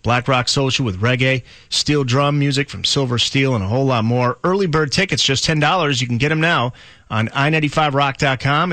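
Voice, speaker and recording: A man's voice: mid-range voice, pushed and bright, dry recording. American guy doing upbeat rock radio dj announcing, punchy and polished, really dry studio sound.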